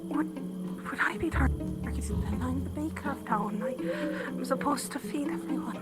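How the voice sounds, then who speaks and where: Woman playing timid high-voiced village baker. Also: measured pace. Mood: fearful. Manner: hesitant, apologetic. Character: timid, anxious young female village baker. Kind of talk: improvised VR roleplay acting. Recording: loud music over the voice.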